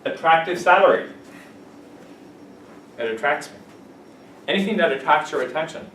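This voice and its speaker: Male ESL teacher, lively and joking with students, miked from across an echoey classroom. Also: on the high side, moderate pace, a little hiss or hum. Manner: playful, laughs often, gives example sentences. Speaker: English teacher. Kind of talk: classroom vocabulary lesson. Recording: echoey classroom, camera from a distance.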